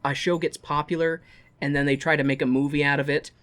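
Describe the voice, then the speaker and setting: A man's voice: on the high side, flat delivery, fast talker, fairly bright tone. A man co-hosting a podcast in casual comedic chat, with a jokey, self-deprecating manner that wanders into tangents. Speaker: podcast co-host.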